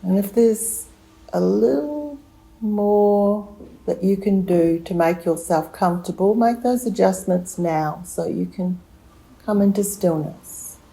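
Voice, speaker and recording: A woman leading a guided relaxation meditation, giving gentle, soothing instructions with long unhurried pauses. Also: mid-range voice, gravelly, poor audio.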